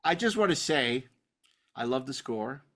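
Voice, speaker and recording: A man co-hosting a podcast, chatting casually about films in an animated way, often repeating and stammering over his words. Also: mid-range voice, a little slow. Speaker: podcast co-host.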